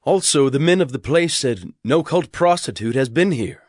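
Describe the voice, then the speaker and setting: Man acting animated ancient errand-running friend. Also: medium pitch, normal speed, dry recording, clean studio sound, natural voice. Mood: reporting. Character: Hira, male Adullamite friend running an errand. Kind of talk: dramatized scripture audio acting. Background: music.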